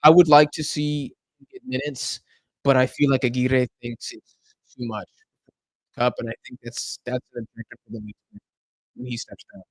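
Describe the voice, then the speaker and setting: A man's voice: mid-range voice, little pitch movement, normal speed, no echo, poor audio. Tired young man rambling on a live stream. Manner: chatty, rambling, answers chat questions. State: tired, losing his voice. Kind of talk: casual live stream chat. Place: home room in Argentina.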